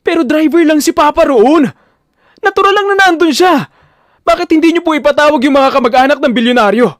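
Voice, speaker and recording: A man's voice: extremely high pitch, a little fast, a bit bright, dry recording, clean studio sound, voice pushed up. A man playing an anguished, fiercely protective young son in an emotional audio drama. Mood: angry, desperate. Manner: emotional, pleading, confrontational.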